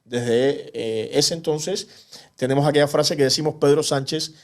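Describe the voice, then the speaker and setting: A man answers a TV interviewer with indignant energy. His voice sits a little high, and he speaks in rapid, passionate bursts. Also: a little mellow. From Cuba. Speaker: activist guest. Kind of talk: animated TV interview.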